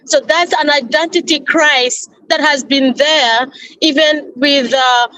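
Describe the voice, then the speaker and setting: A woman from Congo, speaking earnestly as a panel speaker at an event, pausing and rephrasing as she goes. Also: high voice, steady tone, pushed and bright, low-quality recording. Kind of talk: earnest speech at an online panel.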